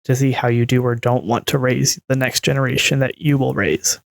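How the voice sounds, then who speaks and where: A woman in her twenties co-hosts a podcast in a casual, conversational style. Her voice is exceptionally low for a woman. The recording is dry and free of room sound. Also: fairly even intonation, a little fast, vocal fry, no room sound. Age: 20s. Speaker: podcast co-host. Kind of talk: casual discussion.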